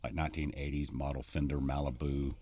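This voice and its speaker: A man with a deep voice, a touring country musician chatting as a podcast guest, telling stories in a rambling way full of "you know". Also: flat delivery, a little fast, dark tone, a little hiss or hum. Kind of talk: casual podcast conversation.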